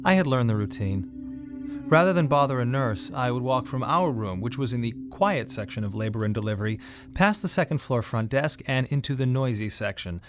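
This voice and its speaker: A man's voice: mid-range voice, lively intonation, normal speed, music in the background, natural voice. An actor playing a weary, bereaved father who tells his story in a reflective, wry radio drama monologue. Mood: weary recollection.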